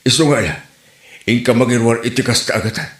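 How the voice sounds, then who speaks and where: A man's voice: medium pitch, a little fast, a bit bright, close to an everyday voice. A man with an Ilocano Filipino accent playing a nagging, scheming village husband in a comedy skit. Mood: persuading. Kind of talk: Ilocano comedy drama acting. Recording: roomy echo, music behind.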